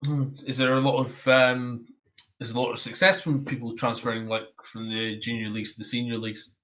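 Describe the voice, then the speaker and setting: A Scottish man hosting a casual music chat, relaxed, moving the conversation along. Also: medium pitch, brisk, low-quality recording. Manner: relaxed, leads the running order. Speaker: host.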